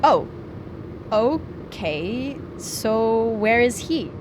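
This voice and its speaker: An actress playing a polite, uneasy young woman hitchhiker in a live radio drama, speaking haltingly. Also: on the high side, very animated, full character voice. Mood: nervous, polite. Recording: clear car noise throughout.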